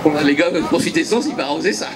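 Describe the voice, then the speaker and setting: A man's voice: fairly high pitch, fast. A man announcing a prize-giving outdoors at a motorbike race, speaking into a handheld mic and urging the crowd to applaud. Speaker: event announcer. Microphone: handheld microphone. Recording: crowd noise around. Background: crowd chatter.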